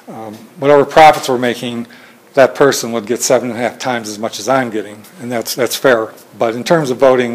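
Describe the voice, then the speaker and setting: Soft-spoken halting man presenting. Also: medium pitch, poor audio. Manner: soft-spoken, halting, many fillers and restarts. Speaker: presenter at a training session. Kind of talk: informal presentation with slides. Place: meeting room with audience. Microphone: phone camera in the room.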